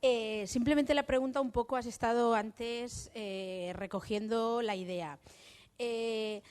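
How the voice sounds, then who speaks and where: A woman's voice: a little high, a little mellow, clear, resonant tone. A woman from youth services asking a rambling, hesitant question from the audience at a conference. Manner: rambling, hesitant with many fillers. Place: conference hall.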